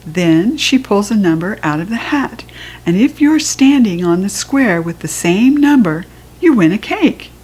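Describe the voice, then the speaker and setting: A patient mother from a storybook voiced by a woman reader, medium in pitch. She explains with clear phrasing and an encouraging manner. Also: lively intonation, unhurried, darkish tone, poor audio. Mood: explaining, encouraging. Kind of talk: children's storybook character reading.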